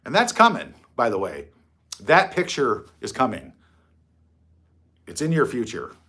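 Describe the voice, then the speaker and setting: A man's voice: mid-range voice, huge pitch swings, a little slow, sharp tone. A middle-aged man hosting a casual livestream from his phone, rambling, mocking and swearing freely. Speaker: livestream host.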